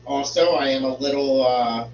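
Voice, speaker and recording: A man's voice: medium pitch, fairly even intonation. A man hosting a casual live stream from home, rambling while he fixes settings, sounding congested and a bit stuffy. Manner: slow, rambling, fiddles with settings while talking. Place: home room with a fish tank. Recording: roomy, distant, dull home stream with hum.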